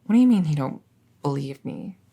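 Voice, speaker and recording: A woman playing a coy, flustered young crown princess in an intimate audio roleplay, speaking in a halting, stammering, nervous way. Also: low voice, sing-song, creaky, warm tone, slightly rough, heavily acted. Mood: flustered, reluctant. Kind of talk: intimate audio roleplay acting addressed to the listener.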